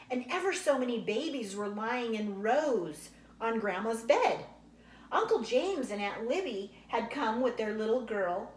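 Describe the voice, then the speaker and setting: A woman reading a children's book aloud expressively and unhurriedly, like a teacher explaining to young listeners. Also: on the high side. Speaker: read-aloud storyteller, teacher. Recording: roomy echo, faint background noise.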